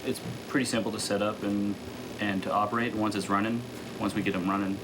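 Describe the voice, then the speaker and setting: A soldier explaining a piece of equipment in a matter-of-fact way. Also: male voice, lowish voice, level tone, a little fast, background noise.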